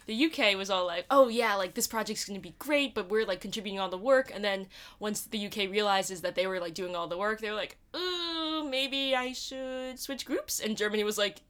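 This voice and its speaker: A woman's voice: medium pitch, fairly quick, hardly any pauses, fairly bright tone. A young woman, co-hosting a podcast in rambling casual chat with many hesitant "um" fillers. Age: young adult. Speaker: podcast co-host.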